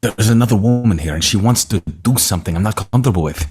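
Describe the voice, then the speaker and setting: Man reading hesitant, deep-voiced reluctant male escort. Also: quick pace, lightly acted. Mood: uneasy. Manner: hesitant, stammering. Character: flustered, reluctant male escort with hurt pride. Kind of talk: screenplay table read.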